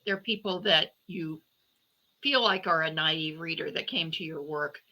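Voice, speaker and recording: A woman's voice: sits low, a little slow, bright, forceful tone. A woman speaking conversationally as an interview guest, reflective and feeling her way through phrases with self-corrections. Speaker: guest novelist and podcaster.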